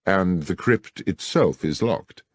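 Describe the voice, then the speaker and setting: Man doing a sharp-minded victorian gentleman detective, polite but probing, husky, swooping pitch, audiobook style. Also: expressive, dry recording, studio quality, exaggerated voice. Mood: cool, probing. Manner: measured, formal, probing. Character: incisive, courteous male Victorian gentleman detective. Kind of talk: dramatized audiobook character dialogue.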